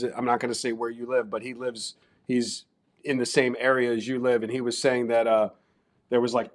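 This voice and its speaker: A man with a Baltimore accent hosting a casual sports betting talk show, chatty and promotional. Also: mid-range voice. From Baltimore, Maryland. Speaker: show host.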